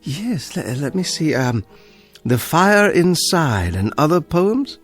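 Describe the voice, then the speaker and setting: A polite, elderly librarian, the kind of man who stammers and apologizes for everything. His pitch leaps and dips all over as he frets in this radio drama. Quiet music sits low behind him. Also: sing-song, takes it slowly, exaggerated voice. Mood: flustered. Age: older. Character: timid elderly male librarian, polite and flustered.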